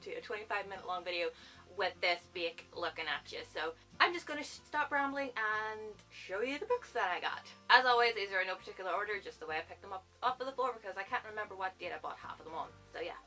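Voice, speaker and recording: A young woman, chatting to camera as a book vlogger, rambling and excitable and laughing at herself. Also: mid-range voice, fast talker, faint music behind, poor audio. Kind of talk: casual chat to camera.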